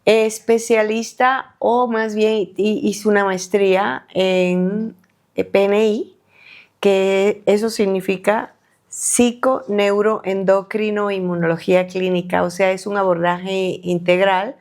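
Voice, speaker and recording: A middle-aged woman from Colombia, a doctor being interviewed on a podcast, speaking in a halting way with many fillers and restarts. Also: medium pitch, steady tone, darkish tone. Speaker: guest doctor.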